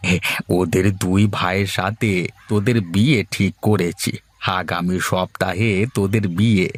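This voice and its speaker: A man's voice: deep voice, steady tone, normal speed, slight background noise, lightly acted. A man voicing an easygoing middle-aged village father in a cartoon story, speaking in a conversational, placating way over music. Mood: calm. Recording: music under the voice.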